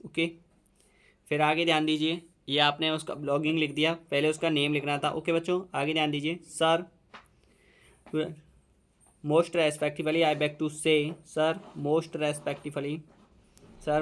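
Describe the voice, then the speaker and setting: Indian male teacher, very slow dictation, flat and even delivery, smooth clear voice, repeats every phrase. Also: fairly high pitch. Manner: mixes Hindi explanation with dictated English. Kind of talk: dictating a letter to students.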